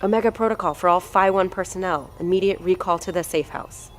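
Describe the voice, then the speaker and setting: An actress playing an arrogant, impatient research doctor, haughty and cutting in an audio drama. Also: female voice, lowish voice, brisk, faint music behind, close to an everyday voice. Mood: dismissive, superior. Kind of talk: scripted audio drama dialogue.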